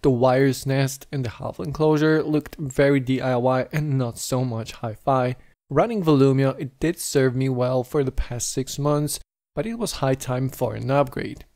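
A man's voice: mid-range voice, steady tone, measured pace, darkish tone. A man hosting a tech video, reading a scripted how-to voice-over. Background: background music.

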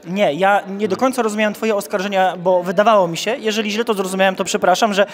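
A man's voice: high-pitched, steady tone, fast talker. A man, working as a sports reporter and asking questions conversationally into a handheld microphone. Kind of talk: conversational interview.

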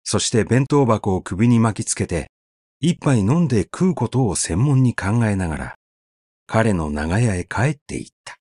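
A man's voice: lowish voice, sing-song, normal speed, a little mellow, no echo, studio quality. A man narrating a short story as a scripted audiobook reading, telling it expressively. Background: faint background music.

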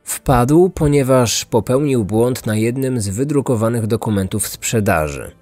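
A man's voice: mid-range voice, expressive, measured pace, darkish tone, no echo. Male true-crime narrator over music. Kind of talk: scripted dramatic narration. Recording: dry studio voice over music. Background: background music.

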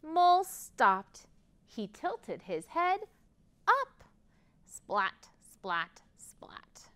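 A woman's voice: high voice, sing-song, very slow, fairly bright tone. An American woman in her thirties leading a children's storytime in a sing-song, exaggerated way for little kids. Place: library room, indoors. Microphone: lapel.

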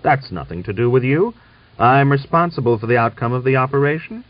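A male narrator plays an irascible old general in an audiobook novel. He is hoarse and imperious, blustering out commands. The sound is dull and lo-fi. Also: mid-range voice, animated, brisk, no room sound, pitched above the performer's own voice, close to an everyday voice. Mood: imperious, angry. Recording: dull lo-fi recording.

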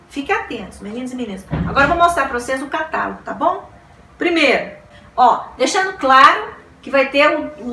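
Woman in her 40s, vlog host; high, bright, excitable; roomy, slightly distant room sound with faint hiss. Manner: gushing, exclaims and repeats words. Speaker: book vlogger. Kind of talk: casual chat to camera. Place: small decorated room indoors.

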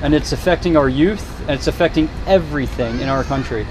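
A man conducting a street interview outdoors, speaking earnestly and deliberately and repeating his points. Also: on the high side. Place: outdoors in front of row houses. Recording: noisy outdoor street, passing vehicles.

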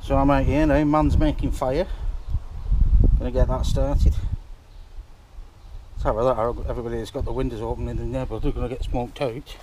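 A man's voice: medium pitch, little pitch movement, fairly quick, long pauses, mellow, slight background noise, low-quality recording. A middle-aged man with a Scottish accent, from the north east of Scotland, chatting casually to a handheld camera about his day. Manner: chatty, rambling, self-filming. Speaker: vlogger. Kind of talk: casual vlog chat to camera. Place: home living room and outdoors, parked car. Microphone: handheld camera at arm's length.

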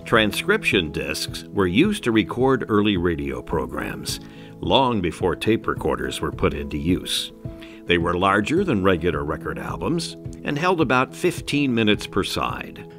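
A man reading scripted documentary narration in a measured, even delivery. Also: low-pitched. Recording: music bed under voice.

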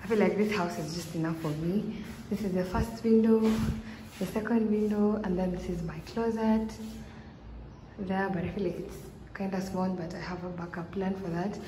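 Young woman chatting to her phone in an empty flat, mellow voice, a little hiss behind, upbeat and rambly. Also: on the low side, moderate pace, poor audio. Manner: chatty, upbeat, thinks aloud with restarts. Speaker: vlogger. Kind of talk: casual vlog to camera. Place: small empty apartment room indoors.

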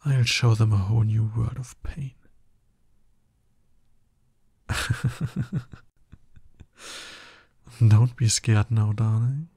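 A man playing a husky, smug, seductive villain. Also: mid-range voice, mellow, dry recording, exaggerated voice. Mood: teasing, seductive. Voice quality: husky, breathy. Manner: slow, condescending, teasing. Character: smug, seductive, dominant male villain courting his hero nemesis. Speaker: voice actor in an ASMR roleplay. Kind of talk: ASMR roleplay monologue.

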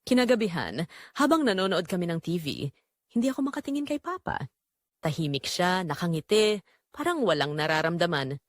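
Low, expressive female story narrator. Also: a little fast, dry recording, studio quality. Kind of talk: scripted first-person dramatic story narration.

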